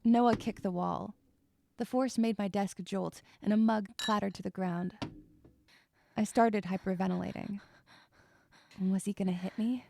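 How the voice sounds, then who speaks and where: A woman's voice: medium pitch, fairly even intonation, unhurried, vocal fry, long pauses, a little mellow, a little hiss or hum. A woman playing an anxious, withdrawn young woman who narrates her own story in a dramatic, introspective and sometimes hesitant way. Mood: fearful narration. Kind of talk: first-person dramatic narration with dialogue.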